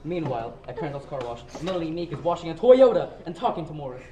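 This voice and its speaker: A man's voice: on the high side, sing-song, fast talker. A man playing a melodramatic radio serial announcer, narrating in a grandiose manner. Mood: suspenseful. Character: dramatic old-time radio serial announcer. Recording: echoey room.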